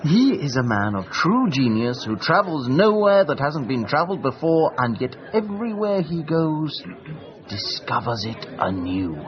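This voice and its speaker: A theatrical English eccentric gentleman, self-important and grandiloquent. His voice swings widely in pitch as he declaims in radio drama style. The recording is slightly dull and lo-fi. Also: big pitch swings, measured pace. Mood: grandly self-important.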